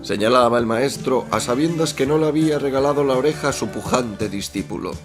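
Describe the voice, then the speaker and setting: A man's voice: medium pitch, fairly quick, lightly acted. A man narrating a radio soap in a florid, mock-solemn storytelling manner. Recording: music behind the voice.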